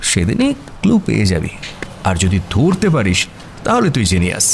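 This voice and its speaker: A man's voice: lowish voice, wildly swooping pitch, moderate pace, vocal fry, a little hiss or hum, full character voice. An actor playing a cheeky, self-assured young man who teases his friend in a mock-grand way, in an audio drama. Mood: teasing, smug. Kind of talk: audio story drama acting.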